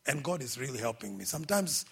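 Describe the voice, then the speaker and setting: Lively Kenyan-accented man preaching. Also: mid-range voice, creaky, slightly rough. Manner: lively, exhorting, calls out for amens, quotes scripture. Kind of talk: sermon.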